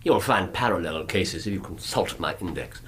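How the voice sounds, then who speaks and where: An actor playing a brilliant, condescending Victorian gentleman detective in a radio drama, with an English accent and a theatrical, precise, lecturing manner. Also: deep voice, animated, moderate pace, a little hiss or hum. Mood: musing.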